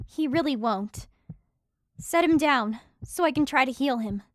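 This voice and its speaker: A woman's voice: high voice, lively intonation, slow pace, dry recording, clean studio sound. A woman playing a practical, caring and slightly wry female healer in a fantasy audio drama.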